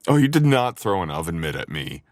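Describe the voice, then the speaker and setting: Very deep-voiced, teasing boyfriend played by a man. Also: big pitch swings, fairly quick, a little hiss or hum. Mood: playful, teasing. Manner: playful, teasing, intimate. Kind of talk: boyfriend ASMR roleplay audio acting.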